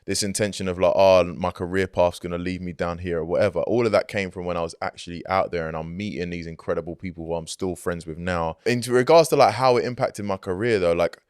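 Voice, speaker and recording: A man's voice: deep voice, steady tone, quick pace, few pauses, a little mellow, clear, resonant tone, dry recording, clean studio sound. A young man, a hip-hop artist, talking casually in an interview, laid-back and full of "like" and "you know what I mean". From Greek heritage, grew up outside Greece. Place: recording studio.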